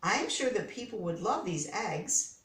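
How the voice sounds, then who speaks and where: A man's voice: high-pitched, very animated, unhurried, roomy sound, recorded from a distance, heavily acted. A woman reading aloud as a kindly, thoughtful old immigrant man who keeps a hat shop, in a storybook. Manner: thoughtful, soft-spoken.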